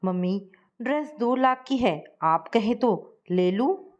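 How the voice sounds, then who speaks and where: A woman's voice: fairly high pitch, expressive, slow pace, highs cut off. A woman voicing a cheerful, easygoing young bride-to-be in a storybook reading. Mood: breezy.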